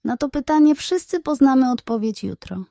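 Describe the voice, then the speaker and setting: A woman acts out a male pig detective from a bedtime storybook. He is stern and resolute, speaking high and emphatically in a very dry, close sound. His manner is firm. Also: animated, normal speed, creaky, mellow, dry recording. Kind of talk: children's bedtime story character voice.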